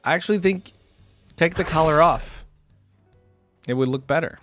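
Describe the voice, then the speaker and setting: A man's voice: a little high, moderate pace. Man casually thinking aloud, music underneath. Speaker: reaction video host. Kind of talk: casual off-the-cuff game reaction commentary.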